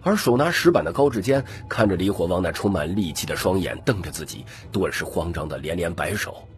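A man's voice: sits low, expressive, fairly quick, soft background music. A man narrating a scripted, dramatic audiobook story.

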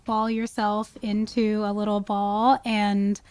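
A woman's voice: medium pitch, level tone, slow, darkish tone, smooth, no echo. A woman speaking as an expert guest in a conversational podcast interview, explaining things with emphasis. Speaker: guest, wildlife ecologist.

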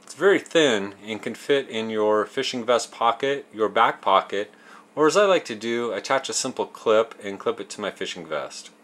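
A man's voice: lowish voice, normal speed, a bit bright. Man explaining fishing tips step by step. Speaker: host of a fishing tips show. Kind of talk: instructional how-to narration.

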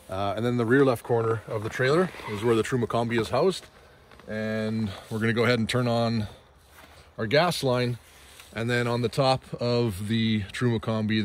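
A middle-aged man explains gear step by step in a casual, conversational walkthrough, filming himself outdoors at arm's length. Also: fairly low pitch. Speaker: presenter demonstrating a camper trailer. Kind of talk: casual instructional walkthrough vlog. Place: snowy outdoor campsite, briefly inside small trailer. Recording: clearly noisy outdoor selfie recording, clicks.

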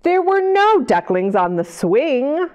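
A middle-aged American woman reads aloud to young children as a storytime host. Her voice is high and swoops widely, with a slow, deliberate pace. She is expressive and sing-song, often putting questions to her listeners. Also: slight background noise. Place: indoor library children's area. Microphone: lapel mic.

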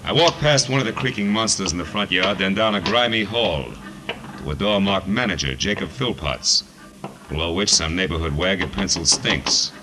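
An actor playing a tough, wisecracking private detective, terse and sardonic, in 1940s radio noir style. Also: male voice, low-pitched, expressive, normal speed, noisy background. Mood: brooding, wry. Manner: terse, sardonic, hard-boiled.

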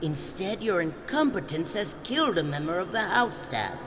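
A woman's voice: on the low side, very animated, normal speed. A woman voicing a grave otherworldly elder in a puppet fantasy drama, formal, solemn and reproving. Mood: stern reproach. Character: stern, grave otherworldly elder scolding failed guardians. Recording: long hall reverb, music underneath.

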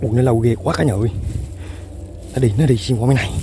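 Excitable young man fishing outdoors. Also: medium pitch, quick pace, slight background noise. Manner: excitable, exclaiming often. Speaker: fishing vlogger. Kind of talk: excited outdoor commentary to viewers. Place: outdoors in fields.

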